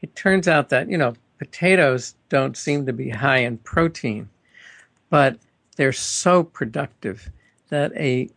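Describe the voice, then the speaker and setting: A man of medium pitch with a gravelly voice hosts an interview, prompting his guest casually and adding remarks. The sound is dry and close. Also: fairly bright tone, no room sound. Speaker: radio host. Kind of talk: interview questions.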